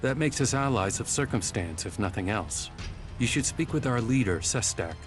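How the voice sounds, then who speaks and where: A man playing a wary, proud rebel soldier in a video game, speaking in a measured, formal and distrustful way. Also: medium pitch, expressive, close to an everyday voice. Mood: guarded, matter-of-fact. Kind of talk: video game cutscene acting. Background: music.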